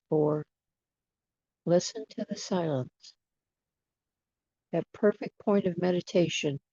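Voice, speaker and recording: Guided meditation facilitator, very slow pace, creaky low-energy fry, soothing, long pauses, dull lo-fi sound. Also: dark tone, dry recording. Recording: dull, dry lo-fi recording.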